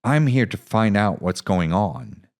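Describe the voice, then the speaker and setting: A man's voice: fairly low pitch, lively intonation, a little slow, a little mellow, voice pushed up. A man voicing a frustrated, idealistic war journalist in an audio story, speaking earnestly and insistently. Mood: earnest, impassioned.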